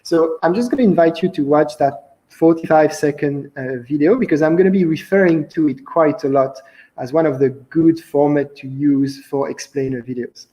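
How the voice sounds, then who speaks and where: A young man with a French accent talks through a conference presentation on a video call. His voice sits a little high with a soft, mellow tone, and he keeps things loose and conversational, dropping in "uh" as he goes. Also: moderate pace, rough recording. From France. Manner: relaxed, conversational with frequent "uh" fillers. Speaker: conference speaker, company founder. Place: indoor room, on video call.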